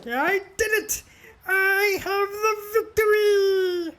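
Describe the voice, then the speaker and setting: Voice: very high-pitched, steady tone, slow, a bit bright, exaggerated voice. A performer voicing a triumphant, theatrical moth-man wrestler in a cartoon, over faint noise. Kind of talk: cartoon voice acting.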